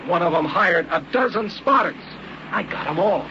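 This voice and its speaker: A muffled, very noisy old radio recording of a male hunter, high-voiced and cocky. He speaks in hesitant, stammering small talk, as if a shy visitor from out of town. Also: lively intonation, normal speed. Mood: cocky, restless. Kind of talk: old-time radio drama acting.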